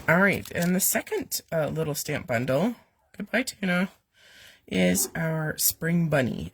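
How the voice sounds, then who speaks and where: A woman with a cold leads a chatty card-making lesson from her basement. Her voice is rough and gravelly, with a faint hiss of noise underneath. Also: sits low. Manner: chatty, rambling, with fillers and asides to her cat. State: has a cold, voice weak and coming and going. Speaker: host of a live card-making stream. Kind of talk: casual step-by-step craft demonstration. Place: home basement.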